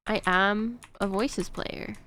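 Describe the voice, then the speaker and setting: A woman's voice: medium pitch, fairly even intonation, slow, bright, forceful tone. A woman streaming a game, chatting casually to her viewers in a rambling way with frequent fillers. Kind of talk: casual chat while gaming.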